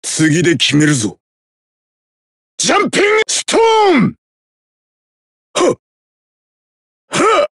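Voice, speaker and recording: A man's voice: very high voice, huge pitch swings, slow pace, long pauses, fairly bright tone, husky, dry recording, studio quality, heavily acted. A man playing a stern, disciplined swordsman in an anime game, terse and resolute. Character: stern, disciplined swordsman warrior, adult male. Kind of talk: anime game battle acting.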